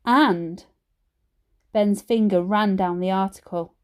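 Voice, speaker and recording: A woman teacher reading a children's story aloud in an expressive storytelling style. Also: mid-range voice, slow pace, smooth.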